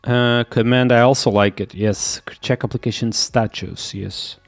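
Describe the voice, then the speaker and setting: A man with a Portuguese accent, a native Portuguese speaker, streaming live as he codes. He thinks aloud, muttering to himself and repeating quick agreements as he reads, and he sounds sick. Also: mid-range voice, steady tone, gravelly, soft background music. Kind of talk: thinking aloud while coding, chatting with viewers.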